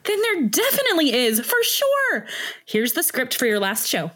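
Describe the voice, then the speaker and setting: A woman's voice: high-pitched, wildly swooping pitch, normal speed, a bit bright, heavily acted. A woman playing a breezy, sly female conspirator in an audio drama. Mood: slyly coaxing.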